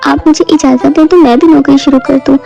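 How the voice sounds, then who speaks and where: A very high-pitched village wife, acted by a woman, earnest and pleading in a cartoon moral tale. She sounds practical and worried, on a dull lo-fi track with music clearly behind her. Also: steady tone, brisk, warm tone. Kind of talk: Hindi animated moral story dialogue. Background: background music.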